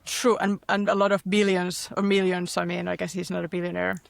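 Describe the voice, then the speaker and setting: A woman's voice: lowish voice, flat delivery, fairly quick, gravelly, no room sound. A woman with a light Finnish accent co-hosts a casual podcast in a giggly, playful way. She keeps chiming in to agree and talks over a video-call setup. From Finland. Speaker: podcast co-host.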